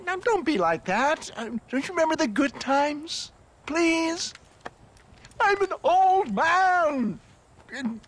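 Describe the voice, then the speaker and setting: Grandiose high-pitched old snake-oil salesman. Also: fairly bright tone, a little hiss or hum, full character voice. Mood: flustered pleading. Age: older. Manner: grandiose barker patter, flustered stammering when challenged. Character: crooked old male Wild West snake-oil salesman, slippery and wheedling. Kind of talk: theatrical sales pitch in western game acting.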